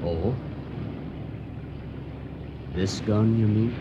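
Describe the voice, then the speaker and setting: An actor playing a young boat navigator in a radio drama, folksy and over-familiar with a quietly sinister edge. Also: male voice, lowish voice, extremely slow, lo-fi sound. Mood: evasive. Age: young adult. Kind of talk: 1980 radio drama acting. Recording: noisy radio recording with boat ambience.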